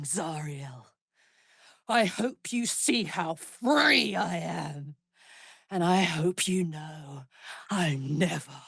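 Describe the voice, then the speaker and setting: Brash fiery warrior woman, unhurried and expressive. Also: fairly low pitch, creaky, husky, no room sound, studio quality, full character voice. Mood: bitter defiance. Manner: blunt, informal, emotionally open soldier's talk. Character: brash, big-hearted tiefling warrior woman, battle-hardened, fiery. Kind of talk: video game character voice acting.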